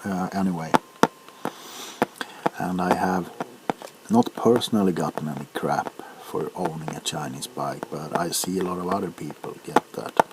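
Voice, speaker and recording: A man hosting a casual, rambling ASMR video, talking in a halting, unhurried way while he handles and taps items. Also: sits low. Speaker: video host showing items. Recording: clear background noise with clicks. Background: tapping, clicking and crinkling of packaging.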